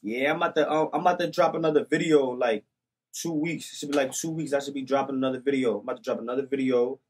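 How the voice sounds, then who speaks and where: Husky, laid-back young man. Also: medium pitch, fairly even intonation, fast talker. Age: young adult. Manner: laid-back, repeats short phrases. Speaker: podcast guest, music artist. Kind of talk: casual chat. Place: small podcast studio. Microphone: boom-arm studio microphone with headset.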